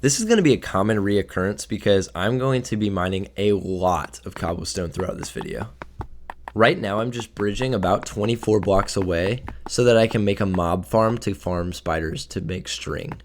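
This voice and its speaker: A young man with a slightly raspy voice from a cold, casually narrating his gameplay and sometimes tripping over his words. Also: lowish voice, normal speed, slight background noise. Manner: casual, offhand, trips over words now and then. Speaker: gaming video creator.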